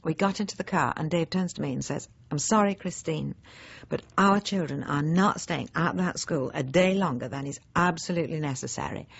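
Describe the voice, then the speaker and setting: An actress playing a snobbish, self-satisfied middle-aged housewife who chats and justifies herself in a radio drama monologue. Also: female voice, deep voice, moderate pace, creaky, husky, muffled recording, full character voice. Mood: indignant. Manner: chatty, self-justifying, genteel, digressive with pointed emphasis.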